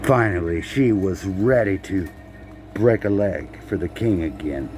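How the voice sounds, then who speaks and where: A man reading a children's picture book aloud in a halting way, repeating words and slipping in playful asides to the listener. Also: sits low, lively intonation, a little mellow, slight background noise. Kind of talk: storybook read-aloud with casual asides.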